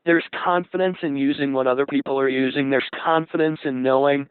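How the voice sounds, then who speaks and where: A man speaking as a panel guest in a measured conversational way, carefully qualifying his points, heard through a narrow radio transmission. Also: medium pitch, fairly even intonation, smooth, no echo.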